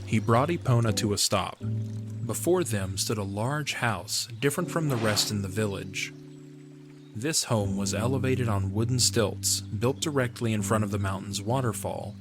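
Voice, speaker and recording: A man reading scripted narration for a fantasy audio drama. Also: lowish voice. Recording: music under the voice. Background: music.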